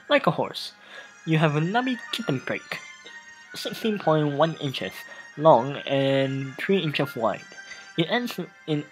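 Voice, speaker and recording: Halting let's play host over loud music. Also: medium pitch, low-quality recording. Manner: halting, stumbles over read text and restarts. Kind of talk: casual game playthrough with dramatic reading. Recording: music playing behind voice. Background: background music.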